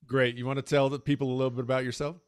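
A man in his forties hosts a panel over a video call, chummy and upbeat, easy with filler words. His voice comes through smooth and resonant on a close desk mic, bone dry with no room sound at all. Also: mid-range voice, little pitch movement, very fast, dry recording. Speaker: panel host. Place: home room with shelves. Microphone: large desk mic on video call.

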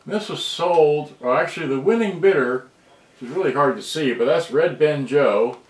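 A man's voice: a little high, lively intonation, normal speed. Older man rambling, roomy distant sound. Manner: muttering to himself, distracted, trailing off. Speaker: hobbyist video maker. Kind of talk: casual rambling show-and-tell. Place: indoors in a cluttered home room. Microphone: camera mic at a distance.

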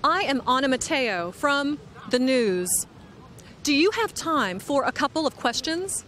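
Upbeat high-voiced woman reporter. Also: big pitch swings, a little slow, a bit bright, a little hiss or hum. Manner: upbeat, enunciates carefully. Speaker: reporter host of an English lesson. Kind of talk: slow, clear scripted interviewing. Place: outdoors on city streets. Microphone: handheld microphone.

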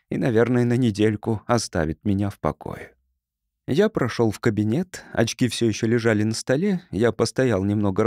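Мужчина-чтец с живой интонацией. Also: male voice, mid-range voice, a little mellow, no echo, studio quality. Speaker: audiobook narrator. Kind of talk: expressive scripted first-person story narration.

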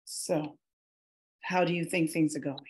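Woman acting patient, gently probing wife. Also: sits low, steady tone, unhurried. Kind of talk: screenplay table read. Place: indoor room on a video call. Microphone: video call microphone.

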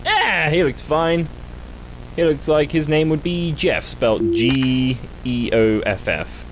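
A man's voice: animated, slow, poor audio. A young man hosting a gaming video with casual reaction commentary. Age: young adult. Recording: clear rumbling game noise behind. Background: horror game rumble.

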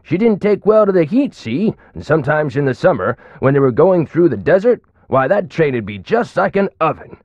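A man's voice: fairly high pitch, very animated, moderate pace, few pauses, darkish tone, no room sound, highs cut off. An actor playing a folksy, chatty old man in his nineties with an American accent, rambling through stories in a video game. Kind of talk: video game character acting.

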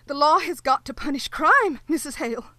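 A timid, nervous sheriff's wife, in a radio play. Her voice sits high and sounds close and dry, and she halts often with anxious little laughs. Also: lively intonation, fairly quick, bright, forceful tone, no echo. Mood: solemn. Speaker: Mrs. Peters, sheriff's wife. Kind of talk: live radio play acting. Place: home closet.

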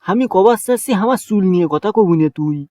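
A man with a Bogura accent voicing a gruff male shopkeeper in a cartoon, who talks in a scolding way and is touchy about his bald head. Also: sits high, lively intonation, quick pace, background noise. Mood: irritated. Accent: Bogura dialect of Bangla.

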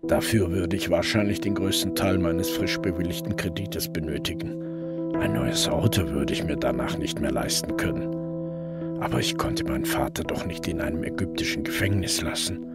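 An actor playing a weary, gruff middle-aged male detective who narrates his own story in a radio crime drama. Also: sits low, moderate pace, over loud music. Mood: weary, resigned.

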